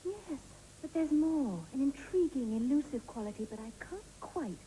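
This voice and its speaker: High, swooping, gushing romantic woman. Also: lively intonation, normal speed, mellow, rough recording. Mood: rapturous. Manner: gushing, theatrical, dreamy. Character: breathy, rapturous woman in love with her chocolate. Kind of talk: comic romantic TV advert acting. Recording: hissy old VHS tape of TV advert.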